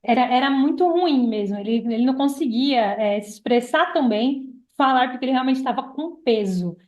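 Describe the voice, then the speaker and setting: A woman's voice: high voice, expressive, moderate pace, a little mellow. A Brazilian woman of about thirty telling personal stories conversationally as a podcast guest. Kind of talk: casual personal storytelling. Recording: roomy, echoing sound.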